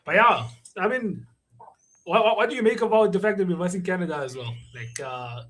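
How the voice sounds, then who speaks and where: A man chatting casually as a football show panelist, slangy and often trailing off quietly. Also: high-pitched, lively intonation, fairly quick. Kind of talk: casual fan banter.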